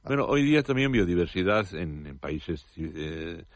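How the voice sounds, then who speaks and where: A man's voice: deep voice, sing-song, measured pace, dry recording. An older man with a Spanish accent, explaining nature topics as a radio guest in a professorial, digressive way, with touches of humor. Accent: Spanish from Spain. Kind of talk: casual radio chat. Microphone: studio mic.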